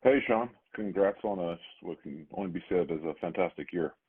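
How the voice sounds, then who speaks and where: A man's voice: low-pitched, normal speed, a little hiss or hum. A man speaking informally as an analyst, asking conversational questions on an earnings call. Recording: muffled radio-like phone line.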